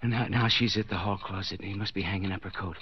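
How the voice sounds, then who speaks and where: An actor playing a desperate, guilt-ridden husband in a 1940s radio suspense drama, stammering and repeating himself breathlessly. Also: male voice, deep voice, fairly even intonation, fast talker, lightly acted. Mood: frantic pleading. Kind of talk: 1940s radio suspense acting, interior monologue. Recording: noisy, dull 1940s radio recording.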